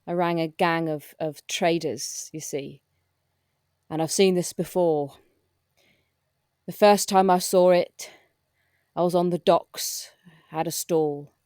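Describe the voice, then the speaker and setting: A woman's voice: fairly low pitch, steady tone, slow, long pauses, a little mellow, clean tone, no room sound, studio quality. A woman playing a timid, deferential hospital porter with a shady past in a comic audio drama, stammering politely. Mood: confessional, dramatic.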